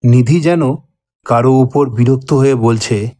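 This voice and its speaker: A man reading a scripted cartoon ghost story, with deliberate, drawn-out phrasing. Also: medium pitch, mellow, no room sound. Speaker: story narrator voicing old friends. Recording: dry voice over background music. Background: music.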